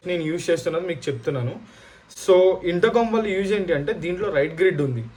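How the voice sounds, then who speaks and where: Higher-voiced Telugu man, casual vlogger. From India. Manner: code-switches often between Telugu and English. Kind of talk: casual product review and storytelling to viewers.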